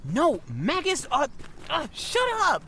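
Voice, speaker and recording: Man as a high-pitched, geeky little brother. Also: wildly swooping pitch, slow pace, gravelly, bright, forceful tone, slight background noise, full character voice. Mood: indignant. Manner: indignant, eager. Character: excitable younger brother, a geeky comics fan, easily riled. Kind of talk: audio drama acting.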